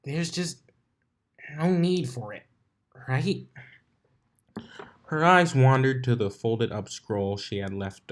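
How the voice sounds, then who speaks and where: A man reading fan fiction aloud as narrator, in an amateur style with occasional stumbles over the words. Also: lowish voice. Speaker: fanfiction narrator.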